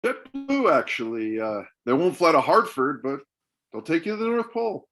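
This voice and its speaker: A man's voice: fairly high pitch, sing-song, moderate pace, fairly bright tone, soft background music. A man playing an unimpressed, matter-of-fact middle-aged father in a comedic table read. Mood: dry, unimpressed.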